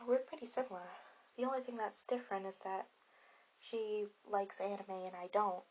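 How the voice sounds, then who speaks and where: A woman playing a friendly popular teenage girl in an ASMR roleplay, chatting softly and reassuringly in a rambling, casual way. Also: medium pitch, fairly even intonation, a little slow, dull audio, slight background noise, lightly acted. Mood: chatty, friendly.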